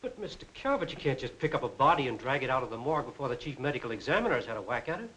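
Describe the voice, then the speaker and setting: Fast-talking, nervous morgue doctor. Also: male voice, mid-range voice, very animated, a bit bright, rough recording. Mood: anxious, pleading. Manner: hesitant, deferential, uneasy. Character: nervous, compliant morgue doctor under pressure. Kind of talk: 1940s crime film acting. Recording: old 1940s film soundtrack.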